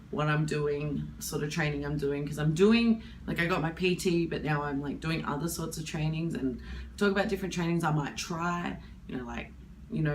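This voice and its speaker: A woman in her twenties with a husky voice from hay fever, talking candidly to camera in a rambling, casual way. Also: on the low side, a little hiss or hum. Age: 20s. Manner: candid, rambling, with many fillers. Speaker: vlogger.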